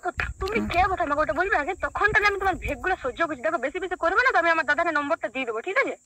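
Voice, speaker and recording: Young woman w/ purulia bengali accent, talks super fast, snappy brush-offs on a phone call, slight noise. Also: very high voice, animated. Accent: rural western Bengal (Purulia). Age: young adult. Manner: blunt, dismissive, sharp retorts. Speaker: prank call recipient. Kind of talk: casual phone argument.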